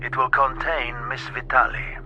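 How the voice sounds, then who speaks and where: An actor playing an icy, ruthless criminal mastermind in a radio drama, giving formal, clipped commands through a radio transmission. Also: male voice, medium pitch, flat delivery, normal speed, bright, forceful tone, highs cut off, soft background music. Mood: cold, commanding. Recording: harsh, band-limited noisy radio link.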